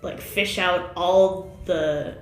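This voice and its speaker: A woman's voice: lowish voice, steady tone, very slow, reverberant, soft background music, poor audio. A woman chatting casually in an interview about how she learned a language, laughing often and using lots of fillers.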